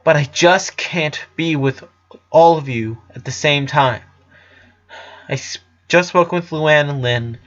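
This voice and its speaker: A man voicing an earnest eleven-year-old boy in an audio story, guilt-ridden, gentle and pleading. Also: a little high, slow pace, muffled recording. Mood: remorseful.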